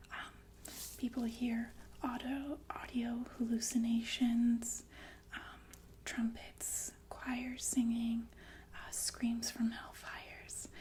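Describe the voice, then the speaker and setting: Whispering sinister killer nurse played by a woman. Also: on the high side. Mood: chatty, eerie. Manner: unhurried, hesitant, softly matter-of-fact about death. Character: calm, sinister killer nurse, polite and chillingly chatty. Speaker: ASMR performer. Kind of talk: whispered ASMR roleplay monologue.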